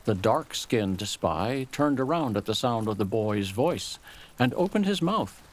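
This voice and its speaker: A man reading a scripted dramatic story as a storyteller, in a measured, literary manner. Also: mid-range voice, expressive, rough recording.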